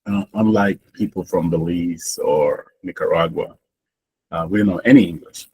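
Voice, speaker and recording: A Honduran-born man in his sixties whose first language is Garifuna, telling his life story in an interview in a relaxed, rambling way with many fillers and restarts. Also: low voice, normal speed, slight background noise, low-quality recording. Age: 60s. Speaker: guest.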